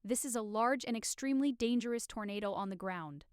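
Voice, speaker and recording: A woman's voice: a little high, brisk, clear, resonant tone, no echo, studio quality, natural voice. A woman as an urgent TV storm forecaster warning viewers in live weather coverage. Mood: urgent, alarmed.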